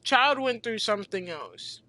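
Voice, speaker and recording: A woman's voice: fairly high pitch, expressive, a little slow, sharp tone. A teenage girl casually commenting over her gameplay in a chatty, rambling, slangy way. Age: teens.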